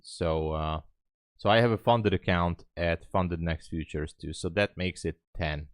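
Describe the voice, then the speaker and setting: Low-voiced Hungarian man streaming casually. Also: unhurried, clean tone, no echo, clean studio sound. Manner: rambling, thinking aloud. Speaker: live trading streamer. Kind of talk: casual livestream chat.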